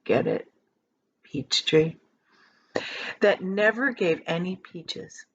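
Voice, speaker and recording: A woman reads a children's story aloud with very lively, swooping intonation. She leans on key words and stretches them out for drama. The recording is slightly dull and lo-fi. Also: fairly low pitch, a little hiss or hum. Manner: expressive, dramatic emphasis with drawn-out key words. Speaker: teacher reading aloud.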